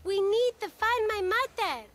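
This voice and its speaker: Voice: very high-pitched, expressive, measured pace, fairly bright tone, exaggerated voice. A performer playing a small, pleading young child in a video game cutscene.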